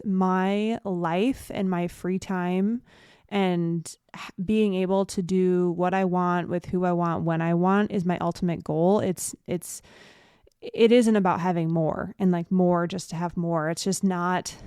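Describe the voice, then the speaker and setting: A woman's voice: on the low side, mellow, clear, resonant tone, no echo, clean studio sound. A young adult woman hosting a solo podcast, chatting casually and rambling with fillers and restarts, sounding a bit stuffy and nasal. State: congested. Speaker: podcast host.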